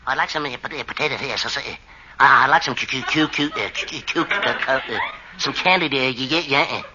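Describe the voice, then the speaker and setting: A man's voice: medium pitch, natural voice. An actor playing a goofy, bashful young man with a heavy stutter in an old radio comedy. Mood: halting. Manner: flustered, halting, tripping over words. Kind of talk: 1940s radio comedy acting. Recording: noisy, dull old radio recording.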